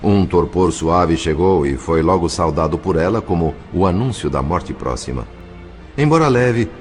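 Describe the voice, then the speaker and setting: Male, Brazilian accent, low voice, solemn dramatic narration, faint music underneath. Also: darkish tone. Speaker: audiobook narrator.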